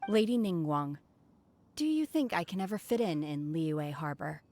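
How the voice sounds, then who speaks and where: Reserved young female outsider for a game: lowish, solemn, polite, understated. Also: lively intonation, moderate pace, a little mellow, faint music behind. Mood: reserved, pensive. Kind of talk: video game voice acting.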